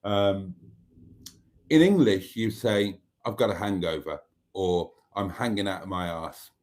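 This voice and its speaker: A man's voice: low-pitched, measured pace, a little mellow. An older man from Wales, Welsh his first language, hosting a casual live stream, chatting in a rambling, wry way as he reads out viewer comments. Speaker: livestream host.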